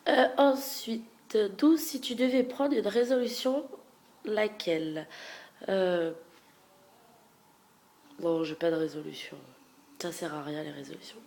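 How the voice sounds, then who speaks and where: A woman's voice: fairly low pitch, fairly even intonation, takes it slowly, pauses often. Congested young woman chatting casually. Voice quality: nasal, congested voice. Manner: chatty, frank, trailing off into soft murmurs. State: stuffy head cold. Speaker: beauty vlogger. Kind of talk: casual Q&A tag video.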